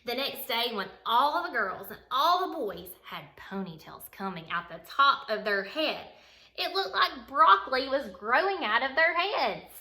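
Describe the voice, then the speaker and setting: Lady reading kids bedtime story, super expressive, voice goes up and down a lot, roomy echo. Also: sits high, measured pace. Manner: expressive, dramatic, acts out dialogue. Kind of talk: animated children's picture-book read-aloud. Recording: roomy, echoey sound.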